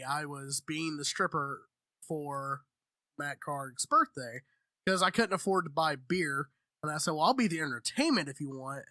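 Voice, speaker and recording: A man's voice: fairly high pitch, bright, forceful tone, dry recording, studio quality. A man in his thirties, a podcast co-host chatting casually with brash, profane, mock-indignant banter. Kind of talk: casual joking group chat.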